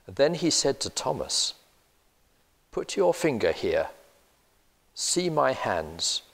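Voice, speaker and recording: Older male reader, slow, deliberate scripture reading with church reverb. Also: medium pitch, vocal fry, slightly rough. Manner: steady, deliberate reading aloud. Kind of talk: Bible reading. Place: church, indoors, seated at a lectern.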